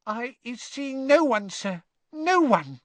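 Man doing a squeaky, terrified little female house-elf. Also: sing-song, slow, no echo, heavily acted. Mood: frightened, meek. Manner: broken grammar, stammering repetition. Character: terrified female house-elf servant, tiny, cringing, eager to please.

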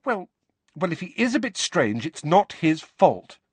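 Man voicing an earnest, high-pitched teenage girl. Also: sing-song, unhurried, breathy edge, dry recording, full character voice. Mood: defensive. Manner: heated, insistent. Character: earnest, indignant teenage girl, bossy and principled.

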